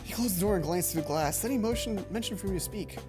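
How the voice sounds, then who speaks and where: A woman hosting a let's play, reading a visual novel aloud and commenting casually, expressive, stumbling now and then and swearing. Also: mid-range voice, fast talker. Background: game music.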